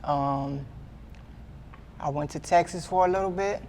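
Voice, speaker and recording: A man in his twenties giving a candid sit-down interview, earnest and halting, often repeating himself as he restarts. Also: on the high side, a little mellow, slight background noise. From Midwestern US. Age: 20s. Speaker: guest artist.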